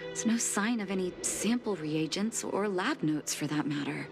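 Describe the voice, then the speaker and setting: An actress voicing a composed, determined woman who is a doctor and leader, speaking in a measured, thoughtful way with soft sighs, with game music behind her. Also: fairly low pitch, lively intonation, vocal fry. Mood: resolute. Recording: studio voice-over with game music.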